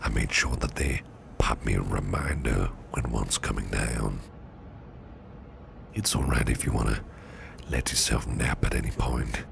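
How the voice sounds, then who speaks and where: An extremely low, crackling voice for a protective, gently flirtatious businessman boss acted by a man in an ASMR roleplay. He speaks slowly in a soft, breathy murmur, often half-whispering, courteous and soothing. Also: heavily acted. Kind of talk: ASMR personal attention roleplay.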